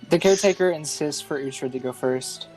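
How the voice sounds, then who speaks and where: A woman with a low voice plays a tabletop roleplaying game. She speaks casually and somewhat haltingly, describing her character's moves over faint music. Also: rough recording. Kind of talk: casual third-person roleplay.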